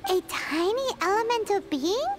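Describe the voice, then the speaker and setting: A woman voicing a tiny, excitable fairy companion in a video game, childlike, squeaky, eager and inquisitive. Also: normal speed. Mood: curious. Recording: game music behind the voice.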